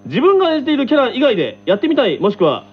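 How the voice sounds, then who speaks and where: A man hosting a radio talk show, casual and playful, quick to laugh. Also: very high voice, huge pitch swings, breathy edge. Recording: slightly muffled radio recording.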